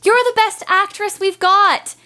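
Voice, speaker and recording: A woman's voice: very high-pitched, steady tone, a little slow, pushed and bright, full character voice. A woman playing a spirited, outspoken teenage tomboy in a period audio drama, blunt and teasing. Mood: bossy, playful. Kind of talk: period radio-play acting.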